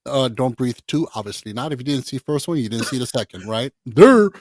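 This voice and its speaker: A man hosting a casual film podcast, excitable, laughing often and swearing freely. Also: medium pitch, a little fast, dry recording, studio quality. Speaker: podcast host.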